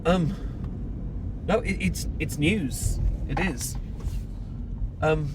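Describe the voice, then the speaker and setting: A man's voice: a little high, very slow, noisy background. A British man acting a heartbroken, newly separated friend in an intimate audio roleplay, stammering and self-deprecating as he shyly confesses an old love. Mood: awkward, defensive humour. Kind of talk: intimate audio roleplay, naturalistic monologue to the listener.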